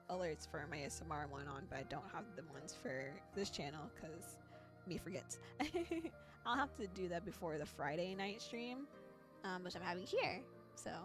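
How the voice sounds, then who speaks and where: A woman streamer chatting casually and playfully as she plays a visual novel, giggly and cutesy in her phrasing. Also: lowish voice. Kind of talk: casual livestream chat while playing a visual novel. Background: music.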